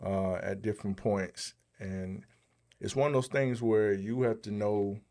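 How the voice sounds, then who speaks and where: A man's voice: on the low side, fairly even intonation, a little slow, a little mellow. A man co-hosting a podcast in a casual, colloquial, motivational way. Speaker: podcast co-host. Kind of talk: casual podcast chat and questions.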